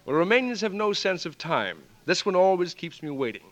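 An actor in a 1940s radio drama playing a cocky, flirtatious aviator, teasing, breezy and wry. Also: male voice, sits high, very animated, a little fast. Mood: teasing, smooth. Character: cocky, flirtatious male aviator fresh out of jail. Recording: 1940s radio broadcast, music behind.

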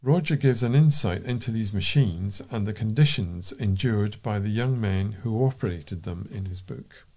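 A British man reads feature pieces aloud as a volunteer for a local talking newspaper. His voice is dark and relaxed, sitting on the low side. The delivery is informal and a little unpolished. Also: moderate pace. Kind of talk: reading feature articles aloud with informal asides.

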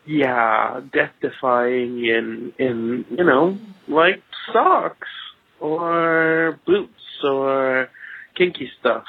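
A man's voice: mid-range voice, extremely slow, vocal fry, a little hiss or hum. Tinny phone-line man, casual and rambling. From Singapore. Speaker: phone-in listener leaving a voicemail.

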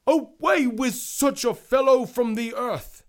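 A man's voice: extremely high pitch, slow, pitched above the performer's own voice. A man voicing spiteful, bloodthirsty jurymen in a dramatized allegory, exclaiming with sneering indignation. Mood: spiteful outrage. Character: spiteful, bloodthirsty male jurymen baying for execution.

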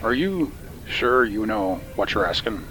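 An actor playing a gruff, wary middle-aged uncle who used to be a thug. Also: male voice, on the low side, sing-song, a little slow, fairly bright tone, a little hiss or hum, low-quality recording. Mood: guarded.

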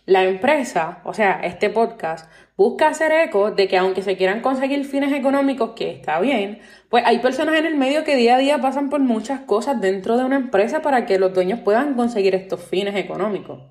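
A woman's voice: fairly high pitch, expressive, a little fast, darkish tone. A Puerto Rican woman in her twenties hosting her own podcast, talking earnestly with many fillers like "este" and "pues". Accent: Puerto Rican Spanish. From Puerto Rico. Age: 20s. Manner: opinionated, earnest complaints about workplaces. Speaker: podcast host. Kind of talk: solo podcast monologue. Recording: roomy echo.

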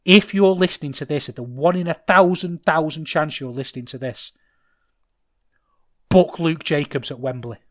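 A middle-aged man with a Manchester accent, hosting a casual solo podcast, rambling and getting loud when excited. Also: a little high, normal speed. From England. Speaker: podcast host.

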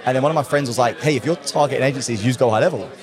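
A young English man talking casually and excitedly as a guest in an interview at a trade event, often laughing. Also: mid-range voice, rapid-fire. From England. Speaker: guest, call center company owner. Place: busy conference floor. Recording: noisy crowded event hall. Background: crowd chatter.

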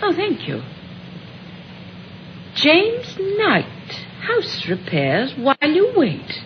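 A woman's voice: sits high, wildly swooping pitch, drawn-out words, full character voice. An actress playing a polite, trusting, easily flustered elderly lady in an old radio drama, genteel and hesitant. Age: older. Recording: dull, noisy old radio recording.

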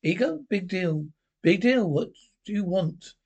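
A snarling, defensive little ex-jockey, played by a man in a one-man reading. He is bitter and boastful, and his voice sits fairly high. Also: animated, unhurried, a little mellow, husky, lo-fi sound, full character voice.

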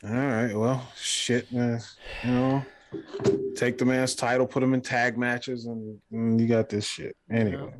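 A man's voice: mid-range voice, little pitch movement, dry recording. Profane man in casual chat. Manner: profane, laughing, bursts of fast talk. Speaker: podcast co-host.

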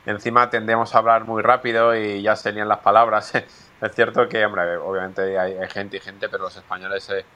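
A man's voice: lowish voice, level tone, moderate pace, sharp tone. A Spanish man, a professional golfer, answering interview questions in a hesitant way, with many fillers and restarts. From Spain.

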